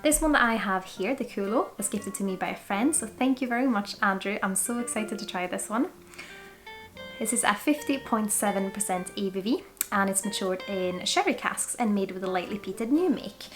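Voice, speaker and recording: A woman in her twenties from Sweden with a Swedish accent, chatting excitedly and thinking aloud as she reviews a whisky. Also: medium pitch, normal speed, faint music behind. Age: 20s. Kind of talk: casual tasting review.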